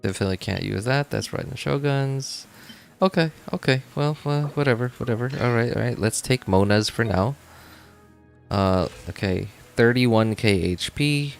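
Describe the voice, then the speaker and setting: A man's voice: medium pitch, normal speed, gravelly, soft background music. A man chatting casually on a game livestream, thinking aloud in a rambling way and often repeating himself. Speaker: game streamer. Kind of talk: casual livestream chat while playing.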